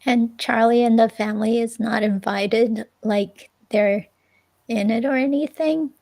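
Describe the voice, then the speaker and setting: A woman's voice: on the high side, steady tone, unhurried, creaky, mellow. A woman playing a hesitant, curious ex-girlfriend questioned by police, speaking casually in a transcript reading. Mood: curious, cautious. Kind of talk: dramatic reading of an interview transcript.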